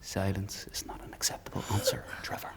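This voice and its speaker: An actor playing a menacing, fanatical hunter in a dark fantasy audio drama, speaking in a deliberate, taunting, theatrical way. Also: male voice, in a whisper, natural voice. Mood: cold menace. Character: menacing male fanatical hunter, coldly articulate, mocking.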